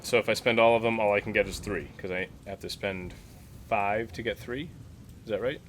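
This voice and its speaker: A man's voice: lowish voice, a little fast. Playful man playing a tabletop game, noisy recording. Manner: excitable, playful, dramatically narrates his character's action. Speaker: tabletop role-playing player. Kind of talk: casual banter in a tabletop game actual play. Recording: noticeable background noise.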